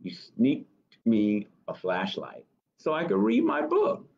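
Man playing an earnest cat, lively lilting pitch. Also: slow, gravelly, exaggerated voice. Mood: grateful. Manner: earnest, heartfelt reading-aloud delivery. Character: earnest, grateful young male cartoon cat. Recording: dull webcam audio.

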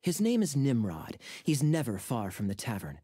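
Suave knight captain, wry, smooth, lively intonation, game voice. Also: male voice, medium pitch, moderate pace. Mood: easygoing. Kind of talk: video game voice acting.

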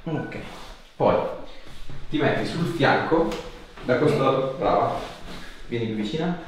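A man's voice: medium pitch, lively intonation, a little slow. A man in his thirties working as a chiropractor, giving a patient short, hands-on instructions. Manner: short directive phrases. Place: small indoor treatment room. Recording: echoey room with faint noise.

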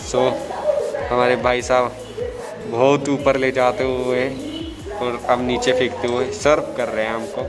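A man's voice: mid-range voice, flat delivery, a little slow, long pauses, over loud music. A man, chatting casually and teasing his companion as he films a food vlog in a small restaurant. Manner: chatty, teasing, mixes a little English. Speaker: food vlogger.

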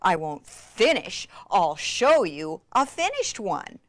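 An excitable woman presents a craft demonstration with gushing enthusiasm. She takes her time over the words, dropping into breathy, near-whispered emphasis. The sound is dry, with little room around the voice. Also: mid-range voice, slow pace, no echo.

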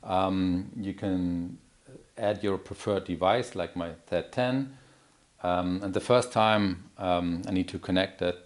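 A middle-aged man giving a live product demo in a halting, explanatory manner. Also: low voice, fairly even intonation. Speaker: product demonstrator.

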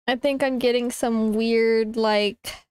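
A woman's voice: on the high side, fairly even intonation, takes it slowly, a little mellow, clean tone, no echo. A young woman streaming, chatting casually and greeting viewers one by one, her voice stuffy and congested from a blocked nose, tripping over her words now and then.

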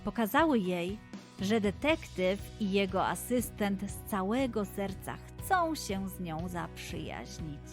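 Slow-paced woman narrating children's stories. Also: medium pitch, big pitch swings, soft background music. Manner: deliberate, addressing young listeners directly. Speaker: children's story podcast narrator and host. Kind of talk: scripted children's storytelling narration.

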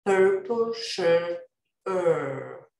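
Woman, Bosnian native speaker, hesitant careful repetition, roomy echo, very slow. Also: lowish voice, lively intonation, gravelly, darkish tone. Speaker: learner repeating drills. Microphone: webcam on a video call. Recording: echoey room on a video call.

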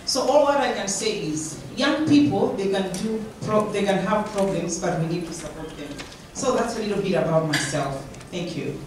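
A woman's voice: mid-range voice, moderate pace. Echoey Malawian-born woman on a panel. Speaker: community organization founder on a panel. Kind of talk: personal story at a panel talk. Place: large conference room. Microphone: handheld mic, heard from far. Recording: echoey room, distant, some noise.

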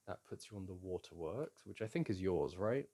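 A man playing a playful boyfriend in an intimate audio roleplay, chatting casually, teasing and coaxing the listener in a gentle, halting way. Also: low-pitched, lively intonation. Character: playful, teasing, soothing boyfriend at a board game.

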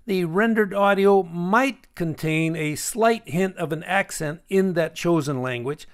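A man with a high-pitched voice hosts a software tutorial voice-over. He explains each step in a deliberate way and puts pointed emphasis on what matters. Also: clear, resonant tone. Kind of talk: screencast tutorial narration. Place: voice-over for a screen recording.

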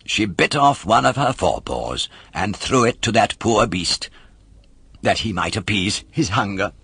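A man voicing a sly fox swindler from a storybook, with a drawn-out, unctuous, theatrical manner. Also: lowish voice, expressive, creaky, sharp tone, breathy edge, no room sound, full character voice. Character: sly fox swindler feigning sympathy and virtue.

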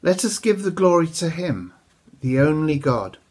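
A man's voice: fairly high pitch, very animated, normal speed. An older man reading a Bible passage aloud as a church reader, recorded in a room at home. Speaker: scripture reader.

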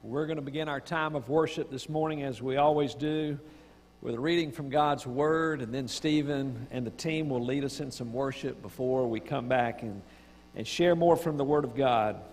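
A man's voice: mid-range voice, normal speed, a little mellow. A man speaking as a pastor, opening a service and reading scripture to the congregation. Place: large church sanctuary with congregation, indoors. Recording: reverberant church hall.

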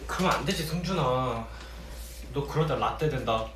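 A man's voice: medium pitch, normal speed. A young man, a member of an idol group, chatting and teasing his bandmates during a casual livestream meal. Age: young adult. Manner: playful, teasing, lively storytelling. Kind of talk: casual chat while eating. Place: small indoor office room, seated at a table. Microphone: fixed camera across the table. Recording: roomy, distant mic with faint hiss.

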